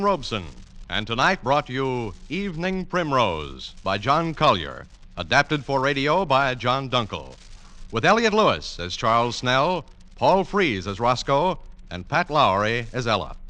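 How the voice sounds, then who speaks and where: A man's voice: medium pitch, big pitch swings, a little slow, sharp tone. A man announcing scripted credits in the style of 1940s radio. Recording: vintage radio broadcast, music behind.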